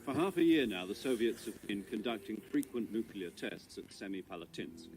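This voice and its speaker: An actor playing a composed intelligence commander in a video game, giving a grave, measured and formal briefing. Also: male voice, lowish voice, expressive, darkish tone, a little hiss or hum, close to an everyday voice. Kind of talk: dramatic scripted mission briefing in a video game cutscene. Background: music.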